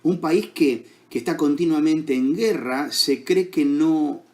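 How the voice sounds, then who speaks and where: A man with an Argentine accent preaching a sermon as pastor, unhurried, with many fillers and questions to the congregation. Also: fairly high pitch, darkish tone. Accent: Argentine Spanish.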